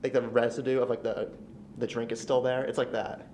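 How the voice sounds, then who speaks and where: A young man is a guest on a casual podcast. He talks fast at a middling pitch and jokes along in laid-back, slangy phrases. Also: level tone, a loud crowd. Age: young adult. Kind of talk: casual chat.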